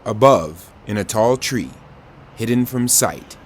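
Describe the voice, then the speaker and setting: A man playing the steady narrator of an audio drama, reading scripted scene descriptions. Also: on the low side, slow pace, a little hiss or hum. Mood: descriptive.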